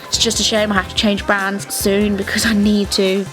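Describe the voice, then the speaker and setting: A woman's voice: mid-range voice, little pitch movement, normal speed, fairly bright tone. A young British woman giving a casual, chatty, enthusiastic beauty tutorial voice-over. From England. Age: young adult. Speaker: beauty vlogger. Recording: music bed under the voice. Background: clear background music.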